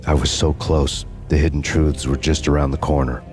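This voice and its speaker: Very deep, flat noir narrator man. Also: normal speed, faint music behind. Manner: bleak, brooding monologue. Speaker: narrator reading a game story.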